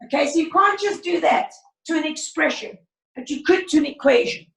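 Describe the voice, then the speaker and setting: A woman maths teacher, teaching a class over a video call in a brisk, encouraging way, her voice croaky. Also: high-pitched. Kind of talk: online class lesson. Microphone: laptop video call. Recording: roomy far-off video call audio.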